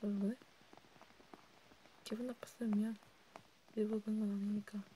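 A woman whispering breathily in an intimate, halting ASMR role play, talking informally as if to one listener. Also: medium pitch, low-quality recording. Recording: steady background noise with clicks.

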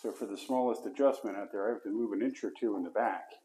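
A man giving casual how-to instructions while he works, talking himself through each step and dropping to quiet mutters. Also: fairly low pitch, fast talker. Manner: talks to himself mid-task, drops to mutters. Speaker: flooring installer demonstrating. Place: basement, indoors.

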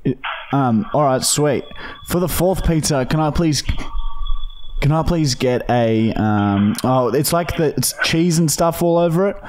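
A man in his thirties with an Australian accent, chatting casually and deadpan as a prank-calling podcast host on a close studio microphone. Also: on the high side, unhurried, slight background noise, rough recording. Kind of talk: casual, deadpan phone chat. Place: small podcast studio, indoors. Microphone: close boom-arm studio microphone with headset.

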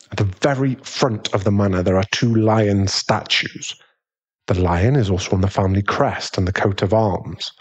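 Low-voiced British werewolf boyfriend, acted by a man. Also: breathy edge. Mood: fond, explaining. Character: affectionate aristocratic British male werewolf fiancé. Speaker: ASMR boyfriend voice actor. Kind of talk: ASMR boyfriend audio roleplay.

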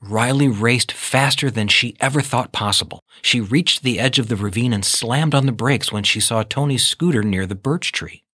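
A man reading scripted children's storybook narration in a measured, expressive way. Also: mid-range voice, breathy edge, no echo, studio quality.

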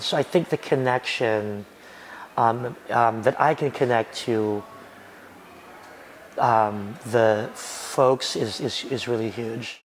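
Guy in his 40s, spoken word poet, gets really dramatic and lively, little bit of background hum. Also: medium pitch. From United States. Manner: animated, dramatic, gesturing expressively. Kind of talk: documentary interview. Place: restaurant booth and outdoor graffiti alley.

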